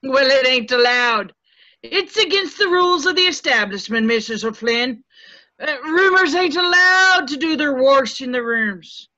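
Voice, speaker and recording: A woman's voice: high voice, lively intonation, a little slow, sharp tone, heavily acted. A woman playing a crotchety middle-aged landlady in a stage play table read, scolding in folksy old-fashioned dialect. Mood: stern, scolding. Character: crotchety, menacing, coy middle-aged Irish-American landlady. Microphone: video call. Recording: dull video-call audio.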